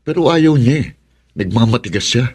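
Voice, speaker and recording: An actor playing a reasonable, exasperated fiancé in a Filipino radio drama, speaking earnestly. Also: male voice, mid-range voice, lively intonation, normal speed, a little mellow, a little hiss or hum, lightly acted. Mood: subdued, weary. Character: reasonable, exasperated fiancé pleading with stubborn bride.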